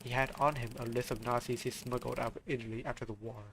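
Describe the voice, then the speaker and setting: Mysterious grave caller read by a man, solemn, measured, gravelly. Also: mid-range voice, little pitch movement, noisy background, natural voice. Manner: solemn, measured, reading game dialogue aloud.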